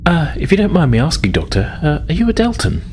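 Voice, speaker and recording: A man voicing a polite, wistful woman officer who survived a crash, speaking haltingly in a tabletop roleplay. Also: on the high side, wildly swooping pitch, a little mellow, slight background noise, voice pushed up. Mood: polite, curious. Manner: hesitant, halting.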